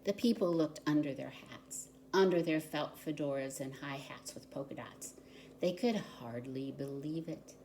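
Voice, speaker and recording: Slow, low-voiced woman reading a children's story. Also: a little hiss or hum. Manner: expressive, deliberate storyteller for young children. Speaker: volunteer story reader. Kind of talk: children's picture book read-aloud.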